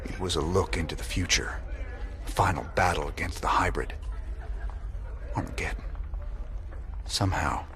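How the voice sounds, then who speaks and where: An actor playing a troubled rebel commander in a video game, speaking gravely and tersely with weary determination. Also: male voice, deep voice, moderate pace, long pauses, pushed and bright. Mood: troubled, urgent. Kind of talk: dramatic video game voice acting. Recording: faint ambient noise.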